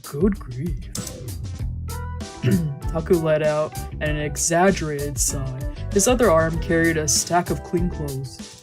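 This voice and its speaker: A man streaming a visual novel, reading its narration and translating the dialogue in a laid-back, quiet way. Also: on the high side, slow pace, music in the background. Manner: laid-back, quiet, drifting into murmured asides.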